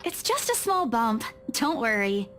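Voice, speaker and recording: A woman's voice: on the high side, big pitch swings, a little slow, fairly bright tone, faint music behind. An actress playing a plucky young female realtor who brushes things off in a visual novel game. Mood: reassuring.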